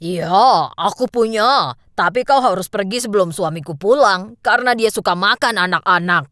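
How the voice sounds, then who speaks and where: A woman's voice: mid-range voice, normal speed, a bit bright, dry recording, close to an everyday voice. Woman playing a cautioning giantess, dry sound. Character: giant woman cautioning a child at her door. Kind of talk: children's storybook cartoon dialogue.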